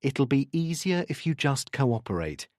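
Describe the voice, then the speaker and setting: A narrator voicing a firm, authoritative male police detective sergeant with a brisk, commanding manner. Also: mid-range voice, sing-song, darkish tone, no echo, clean studio sound, pitched above the performer's own voice. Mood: official, firm.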